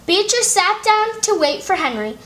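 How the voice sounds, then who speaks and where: High-pitched woman reading a children's storybook. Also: big pitch swings, moderate pace, a bit bright, a little hiss or hum. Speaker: storybook reader and host. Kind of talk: children's storybook read-aloud.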